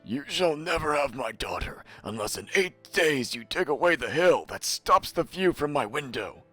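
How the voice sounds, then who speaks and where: A man's voice: on the high side, very animated, moderate pace, fairly bright tone, faint music behind, voice pushed up. A man voicing a stern, demanding king with a commanding manner in a storybook reading. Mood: imperious.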